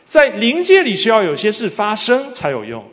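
High, animated male sermon interpreter. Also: moderate pace. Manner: follows the preacher phrase by phrase. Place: large reverberant hall. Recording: dull, reverberant large hall sound.

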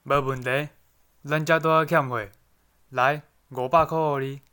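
Young man as a bright, folksy Taiwanese merchant. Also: medium pitch, steady tone, normal speed, clean tone, natural voice. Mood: haggling. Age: teenager. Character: shrewd market merchant haggling in folksy dialect. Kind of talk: amateur student radio drama acting.

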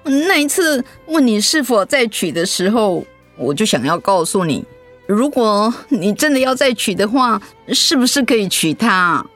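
A middle-aged wife , acted by a woman in a radio play, affectionate yet easily hurt. She speaks with a Taiwanese accent in a mid-pitched, very expressive voice that often turns pouty and questioning. Soft music runs behind her. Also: normal speed, lightly acted. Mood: confiding. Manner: pouting, questioning. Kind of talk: radio drama dialogue.

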